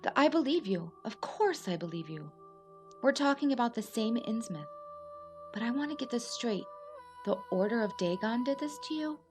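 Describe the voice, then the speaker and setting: Woman acting a steady, probing federal agent. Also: fairly high pitch, normal speed, music in the background, close to an everyday voice. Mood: serious, confiding. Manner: questioning, reassuring. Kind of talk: radio play acting.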